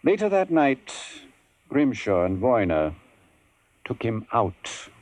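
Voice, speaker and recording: An actor playing a wary, intelligent sanatorium patient in a 1950s radio drama, measured and knowing. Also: male voice, mid-range voice, sing-song, darkish tone, no room sound. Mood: confiding. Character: wary, intelligent, sardonic long-term male sanatorium patient. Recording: old radio broadcast.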